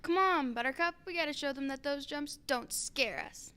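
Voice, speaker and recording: Woman playing a bright, high-voiced eager cowgirl. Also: moderate pace. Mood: bold coaxing. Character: eager, daring horse-loving cowgirl. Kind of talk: children's story radio drama.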